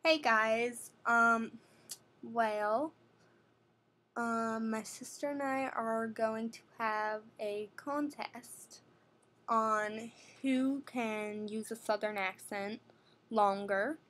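A woman's voice: fairly high pitch, steady tone, extremely slow, a bit bright. A teenage girl from New chatting rambly about her skin products in her bedroom, recorded on a webcam. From New York City. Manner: rambling, chatty, with many fillers and self-corrections. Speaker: beauty vlogger. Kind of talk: casual product-review vlog. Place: bedroom at night, indoors. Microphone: webcam at desk.

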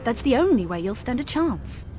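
High-voiced, resolute young heroine. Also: female voice, big pitch swings, moderate pace, a little mellow, faint music behind. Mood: urgent, determined. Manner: urgent, resolute. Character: defiant, clever young woman, daughter of villain. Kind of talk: video game cutscene acting.

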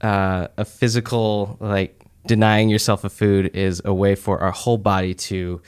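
Young man, low-ish voice, smooth and clear tone, casual church teaching talk, relaxed with fillers, studio boom mic, small office. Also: measured pace. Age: young adult. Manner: relaxed, conversational, many "uh" and "like" fillers. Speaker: church teaching podcast speaker. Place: small office room, indoors. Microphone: studio mic on boom arm.

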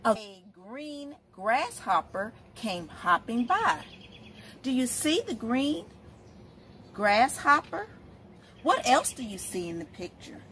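Middle-aged female storytime reader, animated and highish, question-led delivery for little kids, light outdoor background noise. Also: slow. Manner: expressive, asking questions as if to small children. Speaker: storytime host, literacy educator. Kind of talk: read-aloud picture book storytime for young children. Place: outdoors, in front of leafy shrubs.

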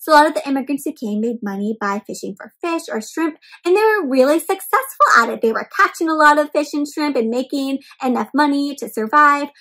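High-pitched young woman reading to kids. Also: brisk, few pauses. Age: young adult. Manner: expressive, questioning, addresses children directly. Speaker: children's storybook read-aloud host. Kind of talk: interactive read-aloud for young children.

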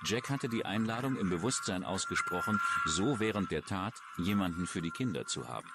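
A man's voice: deep voice, lively intonation, brisk, very noisy. A man reading scripted true-crime documentary narration as the narrator. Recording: music under the voice. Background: music.

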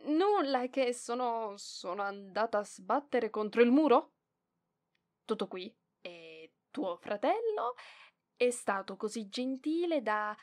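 A proud young librarian, acted by a woman for an ASMR roleplay, speaks in a fairly high voice at a slow pace. She scolds bossily yet fondly, stumbling over her words when flustered. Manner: hesitant stammering, flustered scolding, giggly. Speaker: voice actress in audio roleplay.

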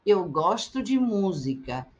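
A middle-aged woman teaching Portuguese, repeating short phrases slowly and with careful articulation for learners. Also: mid-range voice, very animated, a little mellow. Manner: slow, carefully articulated repetition. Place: indoors, webcam in a circle overlay.

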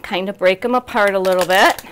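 A woman's voice: medium pitch, a little fast, a bit bright, a little hiss or hum. A middle-aged woman hosting a cooking show in a home kitchen, chatting casually through each step with frequent ums and restarts.

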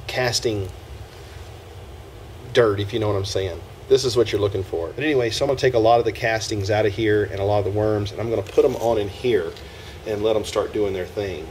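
A man with a lowish voice explains each step in a folksy, casual way while working with his hands. He is outdoors in a yard, picked up by the camera's own mic. Faint outdoor sound sits behind him. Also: normal speed, a little mellow, a little hiss or hum. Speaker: homesteading video host.

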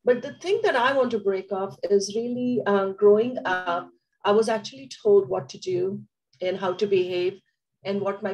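A woman guest speaks over a webcam on a video call while still getting over a nasty cough. She lays out her points in a deliberate, explanatory way at a middling pitch. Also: darkish tone, poor audio. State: recovering from a cough, under the weather. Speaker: guest, tech community co-founder.

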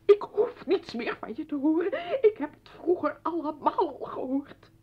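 A woman's voice: high-pitched, animated, normal speed. An actress in a Dutch radio play, playing an anguished, pleading wife and mother in a tearful, dramatic manner. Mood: pleading anger. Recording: dull old radio drama recording.

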